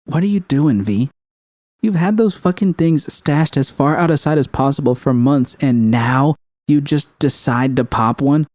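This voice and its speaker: Blunt, worried girlfriend for an audiobook, played by a man. Higher than his narration, confrontational, foul-mouthed, soft-toned. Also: measured pace, dry recording, studio quality, natural voice. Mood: accusing, angry. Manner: profane, confrontational, pressing. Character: blunt, worried, hot-tempered young woman partner. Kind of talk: audiobook character dialogue.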